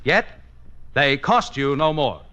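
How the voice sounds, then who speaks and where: A man's voice: a little high, animated, takes it slowly, highs cut off, heavy background noise. A man announcing on 1950s radio from a script, with a polished, emphatic selling delivery.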